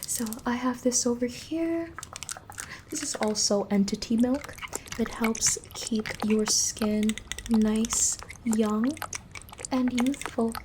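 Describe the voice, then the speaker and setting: A woman playing a gently unsettling inhuman examiner in an ASMR horror roleplay, softly instructing and reassuring, drifting into whispers close to the microphone. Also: fairly high pitch, slow pace, background noise. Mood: reassuring, attentive. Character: gently unsettling female entity examiner preparing a human.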